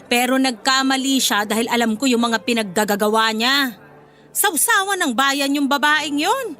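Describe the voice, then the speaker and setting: A woman's voice: high voice, moderate pace, bright, forceful tone. A woman playing a blunt, protective middle-aged mother-in-law with a Filipino accent in a radio drama. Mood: scornful. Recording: music bed behind the voice.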